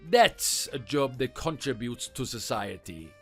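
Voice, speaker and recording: A man's voice: medium pitch, sing-song, measured pace, fairly bright tone, slightly rough, soft background music, full character voice. Man voicing a sneering French mechanic. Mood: scornful. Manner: taunting, contemptuous.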